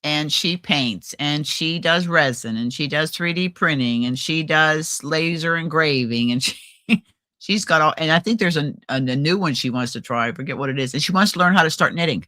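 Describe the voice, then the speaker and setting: A woman co-hosting a casual crafting livestream, chatty and animated, often trailing off and restarting. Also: deep voice, moderate pace, no echo, studio quality. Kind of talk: casual chat. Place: home livestream.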